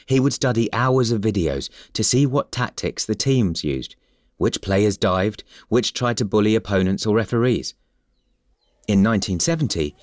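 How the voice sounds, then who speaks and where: A man reading a scripted story as narrator, in a measured storytelling manner. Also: on the low side, no echo.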